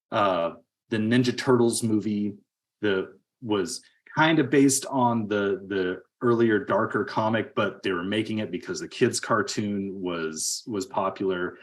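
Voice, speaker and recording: A man in early middle age co-hosting a podcast, talking casually about films in a halting, rambling way. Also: fairly low pitch. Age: 30s or 40s. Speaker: podcast co-host. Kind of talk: casual film discussion.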